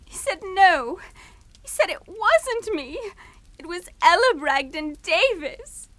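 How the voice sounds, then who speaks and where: An actress playing a distraught, timid young English daughter in a radio suspense drama, speaking in a halting, tremulous way. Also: female voice, extremely high pitch, sing-song, fairly bright tone, dry recording, exaggerated voice. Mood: tearful, anguished.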